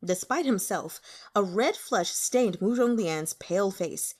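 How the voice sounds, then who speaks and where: A woman's voice: mid-range voice, expressive, measured pace. A woman reading an audiobook, giving a dramatic scripted narration of a story. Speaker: audiobook reader.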